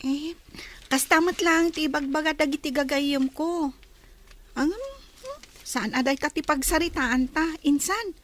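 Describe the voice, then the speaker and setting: A woman's voice: high-pitched, a little slow, long pauses, a bit bright, a little hiss or hum. A woman playing a sensitive, dutiful teenage schoolgirl in a radio drama, with a plaintive manner. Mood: shy, casual. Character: hurt, dutiful teenage high school girl. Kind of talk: Filipino radio drama acting.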